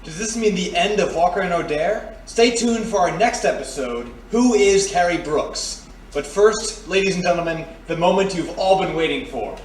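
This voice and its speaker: A man's voice: high-pitched, expressive, normal speed. A man hosting a live radio theatre show before an audience, speaking in old-time announcer patter. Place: stage before a studio audience. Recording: roomy, distant live stage recording, heavy noise.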